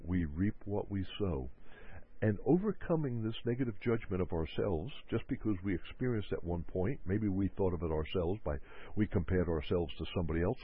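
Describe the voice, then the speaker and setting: A man's voice: lowish voice, husky, no room sound. A man hosting a motivational self-help talk on the radio. He repeats his points and acts out people's worried inner voices. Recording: narrow phone-like radio audio.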